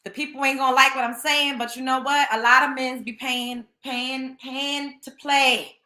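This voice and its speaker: A woman's voice: high-pitched, level tone, normal speed, pushed and bright, roomy sound. A young woman, hosting a show and giving a blunt, scolding commentary monologue that repeats its points. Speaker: show host.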